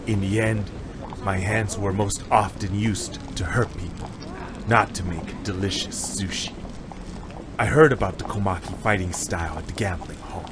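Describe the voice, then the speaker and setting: A man's voice: low voice, background noise, close to an everyday voice. A man playing a frank, earnest sushi chef with a fighting past in an audio drama. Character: candid male sushi chef, former fighter. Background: music.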